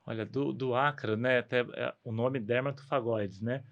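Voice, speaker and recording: A man's voice: medium pitch, steady tone, normal speed, muffled recording. A man with a Brazilian Portuguese accent, explaining health advice conversationally as a guest doctor, often adding little tag questions. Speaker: guest doctor, ear, nose and throat specialist.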